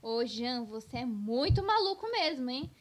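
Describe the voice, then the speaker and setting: An actress voices a sceptical young cowgirl in a cartoon skit. Her high voice is teasing, with a Brazilian accent. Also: big pitch swings, normal speed. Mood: warning. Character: teasing, sceptical young woman in the Wild West. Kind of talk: cartoon comedy sketch acting.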